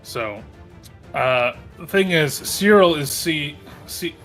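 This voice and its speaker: Male tabletop player, slightly high bright voice, halting casual talk. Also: animated, soft background music. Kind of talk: casual chat during a game.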